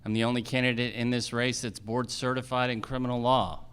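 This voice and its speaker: A man's voice: medium pitch, little pitch movement, fairly quick, a bit bright, smooth. A man speaking as a judicial candidate, giving a campaign speech at a live forum. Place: theater, live event.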